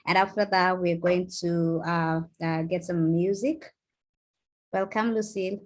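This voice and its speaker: A woman's voice: on the low side, fairly even intonation, unhurried. Polite woman moderating an online panel. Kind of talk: hosting an online event.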